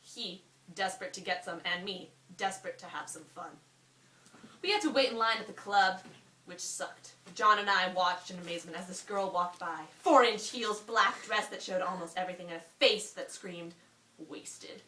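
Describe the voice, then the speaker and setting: A young woman reading a story aloud to a friend in an amused, expressive way, often breaking off for asides. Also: fairly low pitch, normal speed. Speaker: reader. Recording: roomy, distant mic with faint noise.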